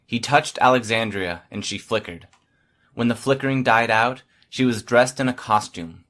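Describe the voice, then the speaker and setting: A man's voice: medium pitch, normal speed, fairly bright tone. A man reading scripted first-person fiction as an audiobook narrator.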